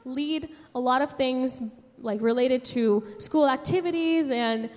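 A young woman from San Juan tells a personal story to a gathering, haltingly, pausing mid-sentence. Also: high voice, darkish tone. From San Juan, Puerto Rico. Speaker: speaker giving a personal testimony. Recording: long hall reverb.

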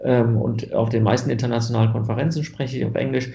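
A man's voice: mid-range voice, steady tone, quick pace, vocal fry, warm tone, reverberant, muffled recording. A German man answering interview questions about genetics research, thoughtful, with many fillers and restarts.

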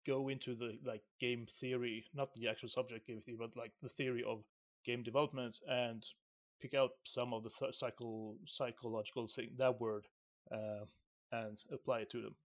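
Man from Syria, mid-pitched voice, chatty podcast co-host, rambling, frequent restarts. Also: flat delivery, moderate pace. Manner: rambling, restarts words, laughs easily. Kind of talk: casual podcast chat.

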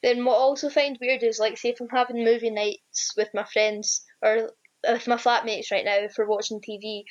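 Young Scottish woman, flat delivery. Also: fairly high pitch, moderate pace. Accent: Scottish, Ayrshire. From Scotland. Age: young adult. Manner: hesitant, many ums and restarts. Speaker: student teacher, guest. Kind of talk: casual interview answers. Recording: dull lo-fi sound.

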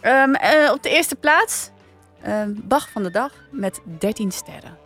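A woman's voice: fairly high pitch, expressive, a little slow, fairly bright tone. A woman with a Netherlands Dutch accent, hosting a podcast and chatting casually as she reviews. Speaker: podcast host. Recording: music bed behind the voice.

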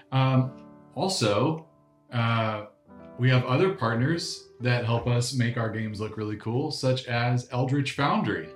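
A man's voice: mid-range voice, reverberant. A man co-hosting a tabletop game podcast, joking with friends and reading partner announcements. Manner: jokey, reads sponsor plugs. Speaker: player and co-host. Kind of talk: casual chat.

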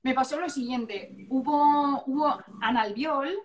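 A woman's voice: sits high, a little slow. A woman with an accent from Spain chatting casually as a guest, playful and digressive, often interrupting herself. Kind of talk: casual chat. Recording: echoey room sound.

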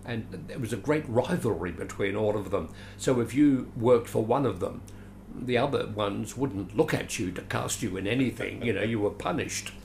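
A man's voice: fairly low pitch, moderate pace, gravelly, a little mellow, a little hiss or hum. An older Australian man, a veteran actor, reminiscing in a rambling, chatty way with dry self-deprecating asides. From Adelaide, Australia. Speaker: veteran actor, interview guest. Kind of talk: reminiscing conversational interview.

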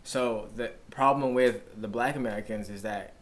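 A man's voice: lowish voice, steady tone, normal speed. A man from South Sudan, a podcast guest talking earnestly and emphatically in casual chat, often asking "you know what I'm saying".

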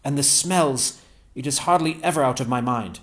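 A man voicing an eager, cheerful scholar with a warm, lively, enthusiastic manner. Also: mid-range voice, moderate pace, voice pushed up, close to an everyday voice. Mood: wistful, longing. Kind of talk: audiobook character voice.

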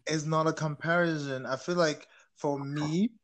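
A young man from Cameroon leads a relaxed group talk in a fairly high voice. He is animated, laughs easily and keeps turning questions over to his guests. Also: normal speed. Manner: animated, laughs often, asks the others questions. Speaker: host. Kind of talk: casual panel chat.

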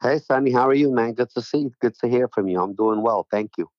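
A man's voice: medium pitch, fast, dry recording, muffled recording. A middle-aged man from New York City, a jockey agent speaking as a guest in a casual interview, friendly and rambling with lots of "you know".

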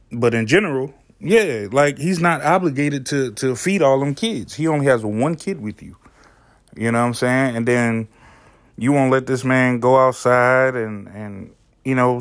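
A man's voice: medium pitch, dry recording, clean studio sound. A man hosting his own podcast in a casual, laid-back way, speaking with a soothing voice, swearing freely and often saying "you know what I'm saying". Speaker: podcast host. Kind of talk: casual solo podcast commentary.

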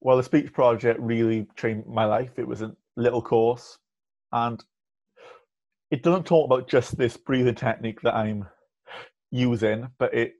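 A man's voice: mid-range voice, fairly even intonation, measured pace, a little mellow. Man speaking with a stammer. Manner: stammers with blocks and repeated sounds. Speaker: podcast guest. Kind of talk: candid conversational interview. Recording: muffled lo-fi audio.

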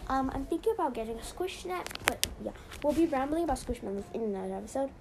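A woman's voice: sits high, lively intonation, fast talker, noisy background. A young woman hosting a casual podcast, chatty and rambling with lots of "so yeah". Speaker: podcast host.

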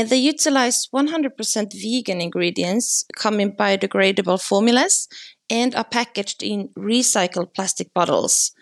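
Medium-pitched woman from Norway as podcast guest. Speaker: guest hair colour educator. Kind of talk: podcast interview answers.

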